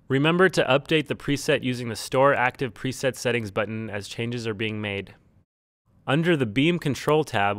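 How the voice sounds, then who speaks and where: A man reading a scripted instructional tutorial in a measured, neutral, step-by-step way. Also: medium pitch, a bit bright, smooth, no room sound. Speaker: tutorial narrator. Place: close, dry studio-style voice-over recording.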